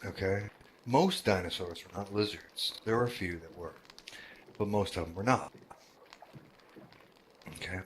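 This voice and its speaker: A man with a deep voice who runs a roleplay world, talking casually to his group, halting, with many fillers and restarts. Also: slight background noise. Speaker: roleplay game world organizer.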